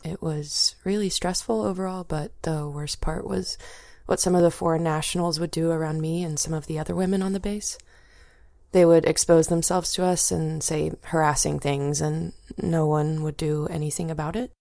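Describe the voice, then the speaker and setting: Low-voiced, reluctant young female veteran. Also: steady tone, gravelly, mellow, no room sound, studio quality. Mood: distressed, ashamed. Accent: American. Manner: halting, reluctant. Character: distressed young female Air Force veteran recalling trauma. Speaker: voice actor in a training simulation. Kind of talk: acted clinical interview testimony.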